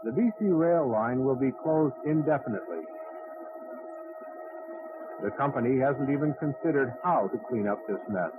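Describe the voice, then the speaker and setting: A man's voice: mid-range voice, animated, moderate pace, pauses often, over music. A man delivering a scripted news report as a reporter. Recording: tinny archival news audio, clearly noisy.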